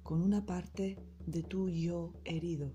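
A woman narrating a scripted guided meditation in a soothing, soft, breathy and unhurried manner. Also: lowish voice, steady tone. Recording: loud percussive meditation music behind voice.

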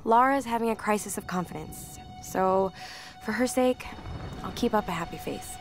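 A young woman playing a spirited expedition filmmaker, confiding in a casual, expressive diary for a video game. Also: mid-range voice, moderate pace, over music, close to an everyday voice. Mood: uneasy. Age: young adult. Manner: conversational, candid, expressive.

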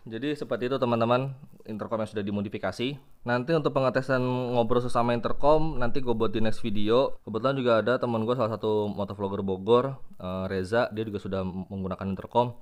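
A man's voice: medium pitch, fairly even intonation, fast. A young man, chatting informally to viewers as he demonstrates gear in a casual unboxing style. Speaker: vlogger reviewing gear. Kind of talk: casual unboxing and product demo. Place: room at home with tiled floor.

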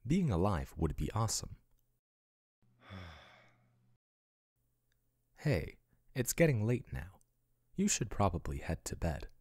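A man playing a breathy, doting yandere AI chatbot. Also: on the low side, huge pitch swings, slow, long pauses, no room sound, studio quality, exaggerated voice. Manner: soft, intimate, doting, turning possessive. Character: male AI chatbot, soothing then possessive yandere. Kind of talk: ASMR boyfriend roleplay.

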